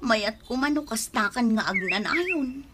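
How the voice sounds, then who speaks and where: An actress playing an excitable, easily startled younger woman in an Ilocano radio comedy drama. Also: on the high side, measured pace, background noise. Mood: surprised.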